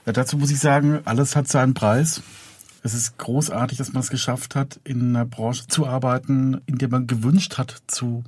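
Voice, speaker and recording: A man's voice: medium pitch, fairly even intonation, normal speed, darkish tone, husky, no room sound. A man talking casually and reflectively as an interview guest. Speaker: audio drama producer, interview guest.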